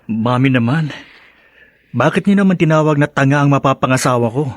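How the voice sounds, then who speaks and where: A man playing a sincere, gentle and self-deprecating young man in an audio drama, speaking haltingly and from the heart. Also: medium pitch, no echo, studio quality, close to an everyday voice. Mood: hurt, pleading. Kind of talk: melodramatic radio-style drama acting.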